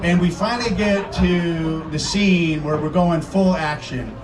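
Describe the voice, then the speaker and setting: A man in his fifties on a screening panel, telling lively stories to an audience with frequent laughs. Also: high-pitched, a little slow, over music. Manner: animated, laughing storyteller. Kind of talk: storytelling to an audience. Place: screening event before a crowd. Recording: distant mic, crowd noise.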